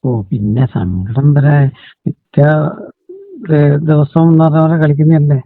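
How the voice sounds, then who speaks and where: Husky man on a tinny phone line. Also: fairly high pitch, measured pace. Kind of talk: casual intimate phone chat. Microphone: telephone call. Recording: tinny phone call, echoey.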